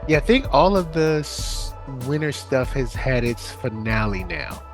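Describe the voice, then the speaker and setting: A man's voice: medium pitch, animated, measured pace, soft background music. A laid-back man co-hosting a casual podcast chat and bringing in guests. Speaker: podcast co-host.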